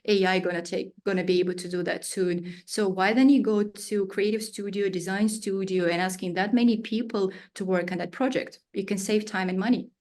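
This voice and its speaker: A woman's voice: medium pitch, fairly even intonation, a little fast, hardly any pauses, darkish tone. A woman in her thirties, a native Russian speaker, answering interview questions with searching phrasing, frequent fillers and non-native grammar. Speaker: studio founder, guest.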